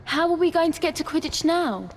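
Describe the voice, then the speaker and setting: A woman playing a spirited, dry-witted teenage schoolgirl in a game, brisk with a sarcastic edge. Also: very high-pitched, lively intonation, noisy background.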